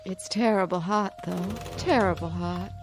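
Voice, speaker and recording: An actress playing an anxious, placating aunt in a radio drama, with a fluttery manner. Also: female voice, on the low side, steady tone, measured pace. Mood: dazed. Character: anxious, eager-to-please aunt, frightened of a child. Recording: music under the voice.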